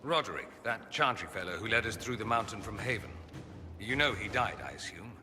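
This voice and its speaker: A posh-talking witty mage noble for a video game, wry and theatrical, bright sharp voice, big echoey hall. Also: male voice, fairly low pitch, animated, brisk. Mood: subdued. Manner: wry, articulate, theatrical phrasing. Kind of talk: dramatic video game dialogue. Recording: long hall reverb with clear background noise.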